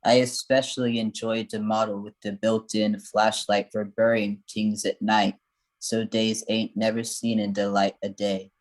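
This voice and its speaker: An older man playing a tough New York type in a radio play, deadpan, one word at a time. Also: on the low side, unhurried, close to an everyday voice.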